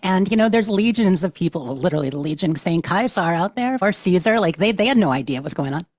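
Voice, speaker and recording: A woman with a lowish voice hosts a casual group discussion. The sound is close and dry, with very little room. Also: expressive, fast, a little mellow, no room sound. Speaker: panel host.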